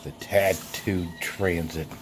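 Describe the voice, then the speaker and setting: Low-voiced, unhurried man showing toy cars. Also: fairly even intonation, slight background noise. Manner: rambling, chuckles often, many fillers. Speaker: toy car collector vlogger. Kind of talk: casual show-and-tell vlog. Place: indoors, filming toys laid on a bedsheet. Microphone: handheld phone camera.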